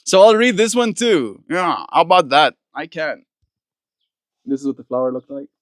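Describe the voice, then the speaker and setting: Gentle Afrikaans-speaking man, dry close sound. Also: fairly high pitch, sing-song, normal speed, dry recording. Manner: gentle, warm-hearted sign-offs with soft blessings. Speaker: live stream host. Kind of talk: reading a poem aloud and chatting with viewers.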